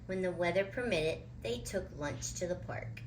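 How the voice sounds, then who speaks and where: A woman reading a children's picture book aloud with deliberate, dramatic phrasing. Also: lowish voice, lively intonation, a little hiss or hum, rough recording. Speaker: children's storybook reader.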